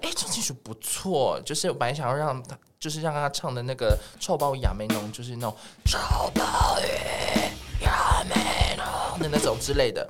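A man with a Taiwanese accent chatting casually as a guest music producer, telling things in animated detail. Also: mid-range voice, normal speed, hardly any pauses. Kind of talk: casual podcast interview chat.

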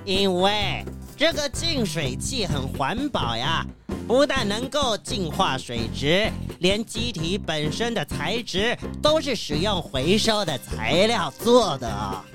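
A woman's voice: medium pitch, big pitch swings, slow, over music. A woman playing an earnest, eco-minded ship captain in a children's audio drama, explaining things emphatically.

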